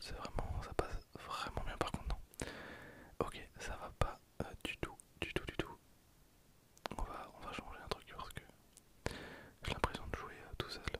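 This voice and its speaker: A young man gives casual, hesitant gameplay commentary in a breathy, unvoiced ASMR whisper. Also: pushed and bright. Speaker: ASMR gaming video host.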